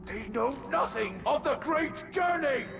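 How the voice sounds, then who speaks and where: Casting: haughty elderly alien preacher for a game, shrill register, slow grand declaiming. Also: male voice, lively intonation, vocal fry, heavily acted. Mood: indignant. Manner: pompous, declaiming sermon. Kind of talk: video game cutscene acting. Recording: reverberant hall with music behind.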